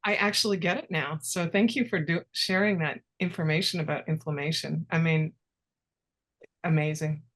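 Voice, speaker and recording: A woman hosting an online talk show, enthusiastic and quick to thank her guests. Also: low-pitched, steady tone.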